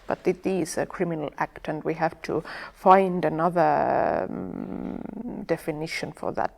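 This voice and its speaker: An Estonian-accented woman speaking slowly and thoughtfully, her voice low and gravelly with fry. She pauses often with fillers, reaching for the right English words as she explains. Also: a little mellow. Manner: halting, many fillers, searching for words. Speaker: sexual health educator, guest. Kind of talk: podcast interview.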